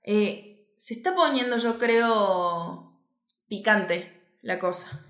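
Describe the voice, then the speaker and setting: A woman's voice: medium pitch, lively intonation, fairly bright tone, echoey room. A woman with an Argentine Spanish accent co-hosting a casual finance chat, easygoing and conversational. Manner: easygoing, conversational, quick agreeing interjections. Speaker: co-host of a finance show.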